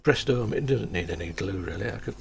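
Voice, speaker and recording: A soft-spoken middle-aged man, chatting casually and rambling through a workshop build, with sweary asides and mumbling as he works on a home-made mic. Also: low-pitched, lively intonation, a little fast, gravelly. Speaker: maker. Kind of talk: casual workshop chat while assembling. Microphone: home-made microphone.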